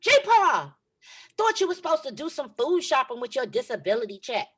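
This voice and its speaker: A woman's voice: high voice, big pitch swings, fairly quick, fairly bright tone, breathy edge, full character voice. Woman playing a sassy young granddaughter. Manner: sassy, exasperated scolding. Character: sassy nineteen-year-old granddaughter. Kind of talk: table read.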